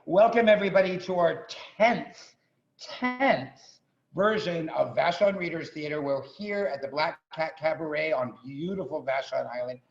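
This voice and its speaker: A man hosting a show with an informal welcome speech, halting and often repeating his words. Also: on the high side. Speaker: host introducing a theatre show. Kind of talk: informal welcome speech with thanks and shout-outs. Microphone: video call. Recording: muffled video call audio.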